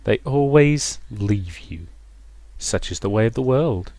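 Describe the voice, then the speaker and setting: A man voicing a possessive, sinister ghostly mother in a visual novel, slow, drawn-out and cloying. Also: fairly low pitch, lively intonation, a little mellow, no room sound. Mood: cold, smothering.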